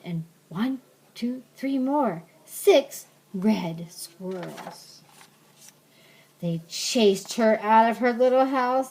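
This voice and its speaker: An older woman reading a storybook aloud like a grandmother, with gentle, simple asides to a young listener. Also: mid-range voice.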